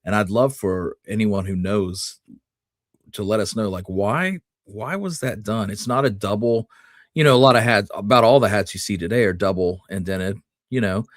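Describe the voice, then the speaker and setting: Man, lowish pitch, podcast co-host, informal history chat, conversational, no room echo. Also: moderate pace, studio quality. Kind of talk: casual history discussion.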